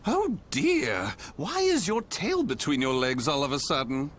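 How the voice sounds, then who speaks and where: An actor playing a theatrical, sadistic video game villain who grandly professes love for humanity, with a flamboyant, drawn-out delivery. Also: male voice, on the high side, sing-song, fairly bright tone, full character voice. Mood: mocking delight.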